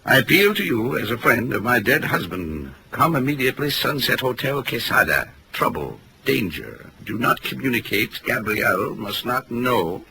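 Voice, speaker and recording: A very bright, forceful voice with a gravelly creak, a condescending literary author in a vintage radio play. He muses archly and swings expressively in pitch, over faint recording noise. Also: big pitch swings, normal speed, few pauses, exaggerated voice, rough recording. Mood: theatrical, excited. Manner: arch, erudite, musing aloud. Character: pompous, theatrical male mystery author, inquisitive and condescending. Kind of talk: 1940s radio acting.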